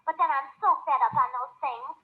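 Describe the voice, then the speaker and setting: Woman acting a very high-voiced young radio sweetheart, pleading. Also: fairly quick, natural voice. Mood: affected gaiety. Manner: pleading, theatrical. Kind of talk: 1930s radio comedy acting. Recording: tinny, band-limited 1930s radio recording.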